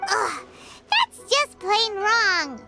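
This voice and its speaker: A woman's voice: extremely high pitch, sing-song, drawn-out words, over loud music, full character voice. A woman voicing a tiny, childlike, inquisitive fairy companion in a video game. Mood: disapproving. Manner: speaks of self in third person, inquisitive. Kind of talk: animated video game voice acting.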